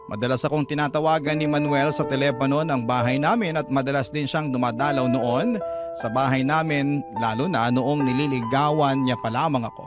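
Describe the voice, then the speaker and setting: An actress playing a middle-aged widowed mother who narrates her son's romance in a radio drama, speaking warmly to the host. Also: rumbling low, fairly quick. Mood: fond, reminiscing. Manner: heartfelt, addresses the host directly. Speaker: letter sender narrating her story. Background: loud background music.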